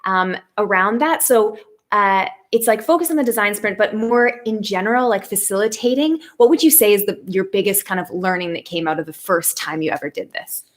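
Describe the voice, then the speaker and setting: A woman's voice: medium pitch, brisk. A young woman from Canada hosting a casual live stream chat, giggling and filling her talk with ums and likes. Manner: giggly, chatty, many fillers. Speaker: host, media lead.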